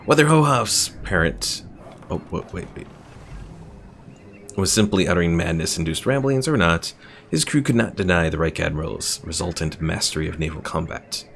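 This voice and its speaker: A man's voice: low voice, animated, normal speed, vocal fry, a bit bright, a little hiss or hum. A man streaming a strategy game, chatting casually, rambling about the lore and reading viewers' messages aloud. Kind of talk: casual gameplay commentary.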